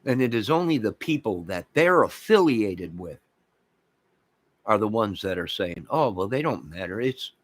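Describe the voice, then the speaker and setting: A man with an American accent and a fairly low voice speaks as an online channel host. He rants with strong opinions straight to his chat viewers. His delivery is emphatic, hammering points home by repeating them. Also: animated, normal speed, no echo. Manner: emphatic, repeats phrases, mocks opposing views sarcastically.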